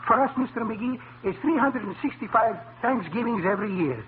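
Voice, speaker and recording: An earnest immigrant restaurant owner with a foreign accent, high-pitched and very lively in his broken English. He is humble and cheerful, heard on a tinny vintage radio comedy recording. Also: brisk, a little hiss or hum. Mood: earnest, heartfelt. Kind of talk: 1940s-1950s radio comedy acting.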